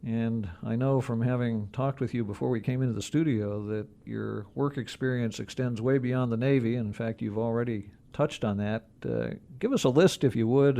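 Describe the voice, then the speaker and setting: Mellow-voiced male radio interviewer. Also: mid-range voice, normal speed, vocal fry, hardly any pauses. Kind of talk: conversational radio interviewing, introducing songs.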